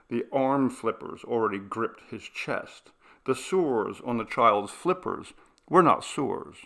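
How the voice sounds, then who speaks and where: Male audiobook narrator for horror fiction, bright forceful tone with gravelly creak, expressive and deliberate. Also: medium pitch. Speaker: narrator reading a horror short story.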